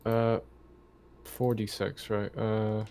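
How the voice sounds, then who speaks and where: A man's voice: fairly low pitch, level tone, slow, creaky, warm tone, a little hiss or hum. A man playing a tabletop game online, chatting casually and musing aloud as he works out his moves.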